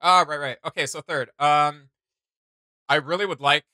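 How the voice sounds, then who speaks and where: Male reaction host, thirties, forceful bright delivery, slangy and rambling, dry close sound. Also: fairly high pitch, steady tone, normal speed, no room sound. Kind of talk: casual reaction commentary. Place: small indoor home studio room.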